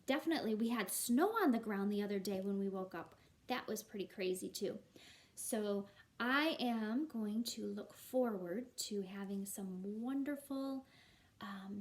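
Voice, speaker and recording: A woman, a primary school teacher, chatting to young pupils in an encouraging way. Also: mid-range voice. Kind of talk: read-aloud and chat to young pupils. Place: at home.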